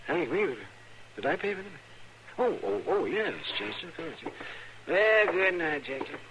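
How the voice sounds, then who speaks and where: Wry, animated old frontier doctor. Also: male voice, a little high, normal speed. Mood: wry, kindly. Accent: American. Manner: teasing, folksy, dry. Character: wry, teasing older frontier town doctor. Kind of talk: 1950s radio Western acting. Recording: noisy, crackly old radio recording.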